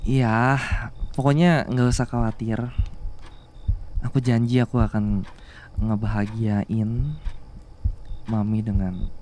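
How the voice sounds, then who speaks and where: A man playing a devoted, bashful younger boyfriend in love with his boss, speaking softly and haltingly in an intimate ASMR romance roleplay. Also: lowish voice, level tone, a little hiss or hum. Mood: tender, earnest. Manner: soft, halting, tender, with murmured hums.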